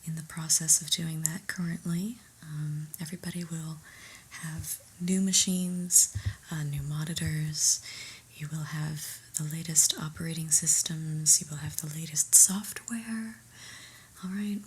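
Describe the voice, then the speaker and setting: A woman's voice: low voice, unhurried, slight background noise. Whispering, unimpressed office boss played by a woman. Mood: firm resolve. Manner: deadpan, hesitant, politely condescending. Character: exasperated, prim new female office boss, dryly disapproving. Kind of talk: whispered ASMR roleplay.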